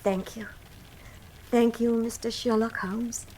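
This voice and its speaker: An actress playing a self-possessed, frank young Victorian gentlewoman, formal and pointed, in a radio drama. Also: fairly high pitch, flat delivery, takes it slowly, a little hiss or hum. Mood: polite, grave. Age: young adult. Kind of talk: full-cast radio drama acting.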